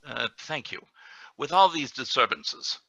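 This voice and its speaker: An actor playing an officious, guardedly polite colony official in a radio play reading. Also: male voice, medium pitch, big pitch swings, measured pace, creaky, pushed and bright, no echo, exaggerated voice, poor audio. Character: smooth, officious, discreetly cautioning male colony official.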